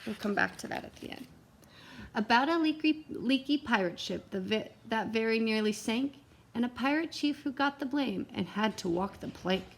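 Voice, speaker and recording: A woman with a slightly high voice reads a children's picture book aloud. Her delivery is expressive and geared to young listeners, with simple explanations along the way. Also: moderate pace, slight background noise, low-quality recording. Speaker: read-aloud teacher and storyteller.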